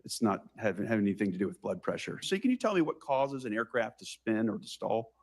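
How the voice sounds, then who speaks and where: An American man questioning a witness as a senator at a formal hearing, brisk and pressing with halting pauses. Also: lowish voice, big pitch swings.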